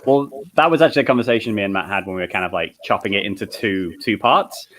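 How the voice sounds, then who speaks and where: A man chatting casually in a group, telling stories in a rambling, excitable way. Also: lowish voice, fast, slight background noise. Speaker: co-writer on a fan script project.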